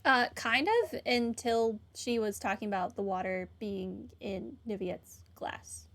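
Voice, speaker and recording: A woman's voice: mid-range voice, fairly even intonation, unhurried, fairly bright tone. Casual woman commenting on a game. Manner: casual, offhand remarks. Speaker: let's-play player. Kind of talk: casual commentary over gameplay.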